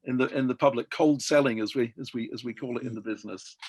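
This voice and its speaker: A man's voice: mid-range voice, fast talker, a little mellow, breathy edge, no echo. Hesitant male interview host, dry sound. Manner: hesitant, frequent fillers and restarts. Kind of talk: hosted online interview. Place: home in London during lockdown.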